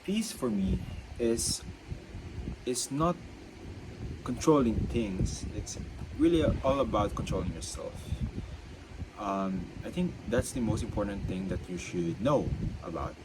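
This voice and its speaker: A man's voice: lowish voice, expressive. A young man, a student, talking unscripted about self-control, with a stutter that makes him halt and repeat himself. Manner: stutters, halting and repetitive. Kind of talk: unscripted reflective monologue. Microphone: own mic. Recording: loud background noise.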